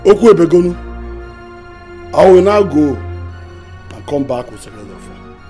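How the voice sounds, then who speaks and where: An actor playing a stern palace elder who forcefully demands answers. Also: male voice, a little high, sing-song, measured pace, faint music behind.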